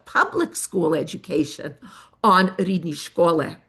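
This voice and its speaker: An older woman researcher giving an academic presentation of study findings, measured and pausing often with fillers. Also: fairly low pitch, fairly bright tone, slightly rough. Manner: measured, with frequent filler pauses.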